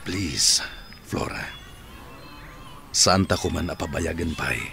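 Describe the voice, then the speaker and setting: A man's voice: low-pitched, takes it slowly, creaky, pushed and bright, soft background music, exaggerated voice. A middle-aged man in a radio drama playing an earnest, persuasive man who is courting a woman.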